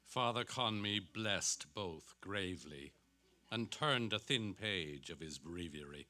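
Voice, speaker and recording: A man's voice: sits low, expressive, slow, bright, forceful tone. A man narrating literary fiction in a dramatic reading. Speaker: actor reading aloud.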